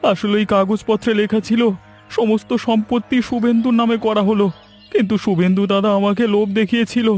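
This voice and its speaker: A man's voice: sits high, little pitch movement, a little fast, a little mellow, lightly acted. A man voicing a cowardly, apologetic young village man in a cartoon, pleading and stammering. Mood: worried. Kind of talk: cartoon voice acting. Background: background music.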